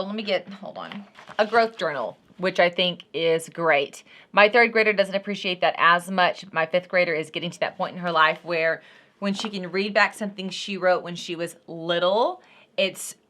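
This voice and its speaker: A woman's voice: on the low side, fairly bright tone. A woman in her thirties giving a casual, unscripted product review as a vlog host, talking to viewers in an informal, folksy way.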